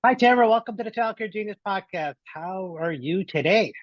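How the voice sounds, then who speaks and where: A man's voice: sits high, expressive, fairly quick, dry recording, highs cut off. A man hosting a podcast interview, upbeat and rambling, often restarting his sentences. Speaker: podcast host.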